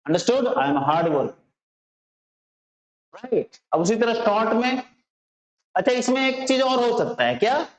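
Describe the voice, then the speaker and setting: A man with an Indian accent teaching English informally, repeating phrases slowly and often checking that learners understand. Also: very high voice, very animated. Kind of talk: informal spoken lesson. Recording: roomy echo, slightly dull sound.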